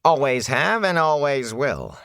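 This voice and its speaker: A man voicing a fearful, sly and boastful ragged peddler in an audiobook, with a rasp, a whine and folksy rustic phrasing. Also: medium pitch, sing-song, unhurried, sharp tone, dry recording, studio quality, voice pushed up, full character voice. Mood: indignant, boastful. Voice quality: raspy, sometimes dropping to a hoarse whisper.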